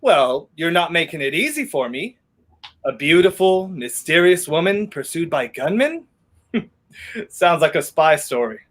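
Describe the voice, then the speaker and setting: Man playing animated, flippant young 1930s gentleman. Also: a little high, a little slow, exaggerated voice. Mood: amused, skeptical. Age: young adult. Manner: wry, theatrical, animated. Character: flippant, skeptical young gentleman drawn into intrigue. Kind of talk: 1930s radio play acting.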